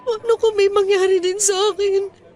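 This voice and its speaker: High-voiced, despairing young woman Lyra. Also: flat delivery, normal speed, warm tone, faint music behind. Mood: pleading, desperate. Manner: plaintive, pleading. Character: Lyra, despairing, stubborn young pregnant woman feeling unwanted. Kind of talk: Tagalog radio drama acting.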